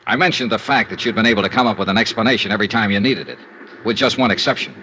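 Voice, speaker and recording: Hard-boiled radio detective, noisy old recording. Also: male voice, fairly low pitch, rapid-fire, bright, forceful tone. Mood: accusing, bitter. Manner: terse, sardonic, accusing. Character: hard-boiled male insurance investigator, cynical and world-weary. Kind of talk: 1950s radio noir acting and first-person narration.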